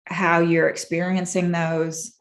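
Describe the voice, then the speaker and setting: A woman from Massachusetts, a clinician, speaking as an interview guest in a reflective, earnest and measured way. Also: on the low side, little pitch movement. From Massachusetts, USA. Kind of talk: personal storytelling in an interview.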